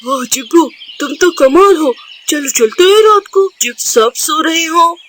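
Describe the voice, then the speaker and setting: A man's voice: very high-pitched, animated, measured pace, pushed and bright, a little hiss or hum, exaggerated voice. A man voicing a mischievous, gleeful young bird sidekick in a cartoon. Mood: gleeful plotting.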